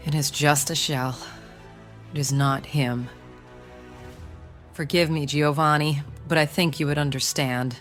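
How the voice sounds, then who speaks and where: A determined, sorrowful medieval woman played by an actress, grave and earnest. Her voice is low and she speaks slowly, with soft music behind her in a historical audio drama. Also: steady tone. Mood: tender sorrow.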